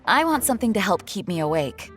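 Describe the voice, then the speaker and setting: Dutiful game heroine: woman, mid-range, lively inflection, formal and sincere. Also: mid-range voice, faint music behind. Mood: polite, composed. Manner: polite, formal, measured. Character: earnest, dutiful, overworked young woman leader. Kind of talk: video game voice acting.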